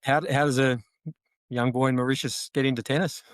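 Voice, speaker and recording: A man's voice: medium pitch, fairly even intonation, a little fast, no echo, clean studio sound. A man asking questions in a casual, conversational interview. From Australia.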